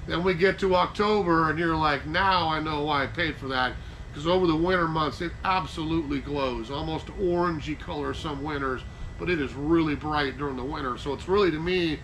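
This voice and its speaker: Older American man, higher-pitched, folksy. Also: moderate pace, fairly bright tone, poor audio. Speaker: nursery class presenter. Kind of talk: informal gardening lecture. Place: covered wooden garden-nursery structure. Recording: noticeable background noise.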